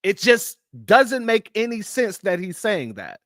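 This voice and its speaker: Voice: mid-range voice, very animated, unhurried, a bit bright, no echo, studio quality. A host reacting to a clip on a livestream, speaking in a heated, emphatic way. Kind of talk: livestream commentary.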